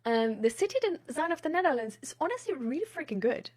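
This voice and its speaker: A woman's voice: high-pitched, big pitch swings, fast, darkish tone. A woman in casual, rambling chat, thinking aloud in an informal way.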